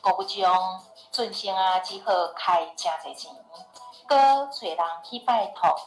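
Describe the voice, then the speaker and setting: A Taiwanese woman narrates a radio drama at a medium pitch. Her storytelling comes with a roomy echo, and music plays faintly behind her. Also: animated, unhurried.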